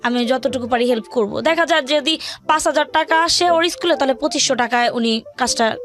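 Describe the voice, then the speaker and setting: A woman's voice: high voice, brisk, a bit bright. A young woman with a Bangladeshi accent telling a personal hardship story to an interviewer, in a rambling, colloquial way with repeated phrases. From rural Bangladesh. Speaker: guest telling her life story.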